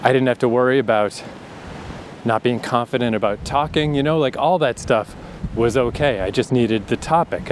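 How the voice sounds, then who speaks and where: A man's voice: mid-range voice, a little hiss or hum. A man in his thirties with a slight Atlantic Canadian accent, hosting a podcast as a rambling, candid monologue outdoors, swearing freely and laughing often. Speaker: podcast host. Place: outdoors in a breezy urban area.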